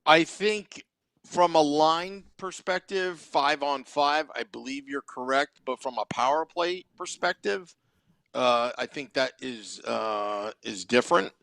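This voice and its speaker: A man's voice: a little high, slow pace, creaky, fairly bright tone, dry recording. A man hosting a live hockey game-day show, talking into a close studio microphone. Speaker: sports podcast host.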